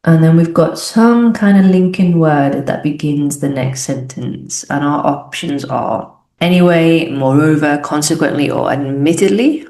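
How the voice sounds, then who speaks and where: A low-pitched young woman from the UK, working through an English exam aloud like a teacher. She explains each choice as she goes and sometimes corrects herself. The recording has a noticeably echoey room sound. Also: a little slow, a little mellow. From United Kingdom. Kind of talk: think-aloud exam walkthrough.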